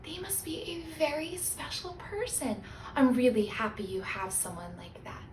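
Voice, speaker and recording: A woman's voice: high voice, normal speed, reverberant, distant mic, a little hiss or hum. A woman hosting story time, speaking simply and expressively to young children as a read-aloud reader. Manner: simple questions and encouragement addressed to small children.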